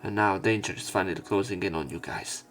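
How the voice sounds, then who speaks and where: A man's voice: low voice, animated, quick pace, fairly bright tone. A man reading a visual novel script aloud as let's play narration, acting out the story. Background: faint game music.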